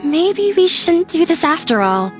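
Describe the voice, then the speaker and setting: A woman's voice: very high-pitched, sing-song, measured pace, exaggerated voice. An actress playing a timid young woman who stammers and hesitates nervously. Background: game music.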